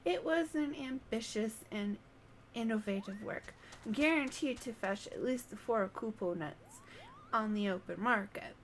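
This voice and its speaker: Boastful, pompous moogle artist for a game, read by a woman; slow, slightly high voice. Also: slight background noise. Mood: pompous, urgent. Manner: pompous, grandiose wording. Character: self-important moogle painter, small boastful artistic creature.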